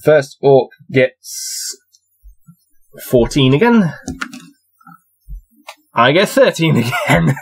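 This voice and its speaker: A young man in his twenties reading a fantasy gamebook aloud at his desk and chatting through his dice rolls with amused laughs. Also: medium pitch. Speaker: reader playing a gamebook. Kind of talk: casual read-aloud with dice commentary. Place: small cluttered room with shelves, indoors.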